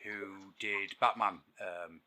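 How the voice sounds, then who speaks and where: A podcast host with a low voice and a very bright, forceful edge talks excitedly in a casual chat. He rambles through his points, stopping and restarting with fillers along the way. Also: slow.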